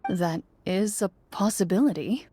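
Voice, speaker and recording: A woman voicing a composed young female game master who narrates in a measured, formal, deadpan manner. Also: medium pitch, slightly rough. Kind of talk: scripted tabletop-style narration in a game. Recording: faint music behind the voice.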